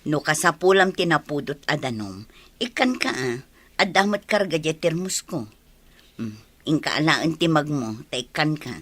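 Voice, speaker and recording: A woman's voice: deep voice, very animated, normal speed, dry recording. A kind village neighbor lady in a radio drama, concerned about folks. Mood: kindly. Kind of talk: Filipino radio drama acting.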